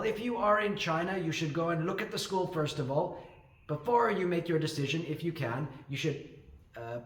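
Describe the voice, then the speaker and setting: Man, higher-pitched voice, casual advice, rambling with fillers, echoey room. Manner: rambling, with frequent fillers and restarts. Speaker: ESL teacher and channel host. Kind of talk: casual advice talk to camera.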